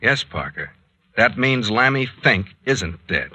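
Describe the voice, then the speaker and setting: An actor playing a methodical, authoritative police captain who lays out a murder case deliberately, in old-time radio mystery style. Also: male voice, on the low side, lively intonation, bright, forceful tone, husky, exaggerated voice. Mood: explanatory, grave.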